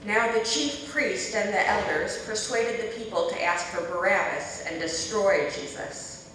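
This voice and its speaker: Female scripture narrator, measured public delivery, heard from afar in long church reverb with faint noise. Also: mid-range voice, fairly bright tone. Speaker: scripture reader. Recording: echoey church hall, distant mic, faint noise.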